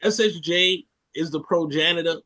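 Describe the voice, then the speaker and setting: Jamaican male podcast co-host, higher-pitched voice, playful storyteller who acts out what people said. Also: moderate pace. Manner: animated storyteller, joking, acts out quotes. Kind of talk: casual chat.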